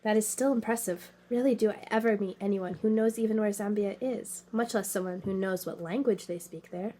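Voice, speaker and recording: A woman's voice: mid-range voice, fairly quick, mellow, lightly acted. A woman playing a polite, encouraging young guide to gifted children in an audio drama, speaking in formal phrases. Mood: surprised, impressed.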